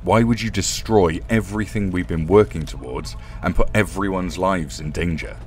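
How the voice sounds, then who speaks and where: Man as a very deep-voiced male boss. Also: moderate pace, slight background noise, lightly acted. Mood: stern. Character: stern, bewildered male office boss. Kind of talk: audio drama acting.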